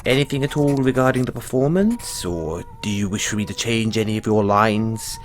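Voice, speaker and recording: A man voicing a refined male playwright in a one-sided audio roleplay, speaking in a theatrical, drawn-out way and dropping low on each "dear boy". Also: expressive, a little hiss or hum, natural voice. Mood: affectionate.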